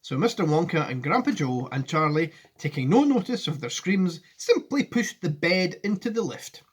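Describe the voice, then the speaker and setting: A man's voice: on the high side, very animated, moderate pace, husky. A man in his forties, a teacher reading a children's story expressively and addressing his pupils in a friendly way at home. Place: living room at home. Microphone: device camera at arm's length.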